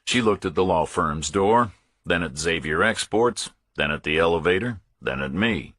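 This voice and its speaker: A man reading a first-person thriller audiobook as narrator, measured, restrained and matter-of-fact. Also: low-pitched, animated, a bit bright, breathy edge, no echo, clean studio sound.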